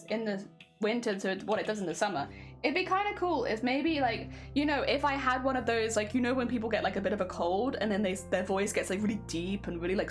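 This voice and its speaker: A young woman chatting casually on a craft stream while sewing, rambling with lots of fillers and a slight winter cough. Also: a little high.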